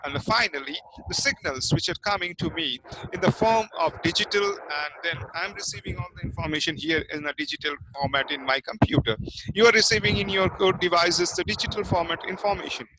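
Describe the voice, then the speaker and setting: Man, high voice, online lecture, noisy line, asks and answers his own questions. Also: a little fast. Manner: repeats questions and answers them himself. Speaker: teacher. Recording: noisy online class audio.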